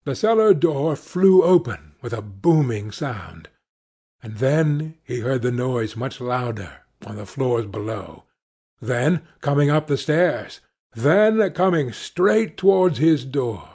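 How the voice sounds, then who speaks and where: A man reading a classic novel aloud as an audiobook, with measured and expressive storytelling. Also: medium pitch, breathy edge. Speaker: audiobook reader. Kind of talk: scripted narration of a classic novel.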